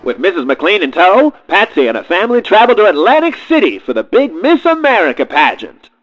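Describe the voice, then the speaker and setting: A dramatic storyteller played by a man narrating a comic radio play over soft music. His fairly high voice moves widely in pitch, with a dry, mock-biography delivery. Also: wildly swooping pitch, brisk, exaggerated voice, low-quality recording. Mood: mock solemn. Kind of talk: scripted narration.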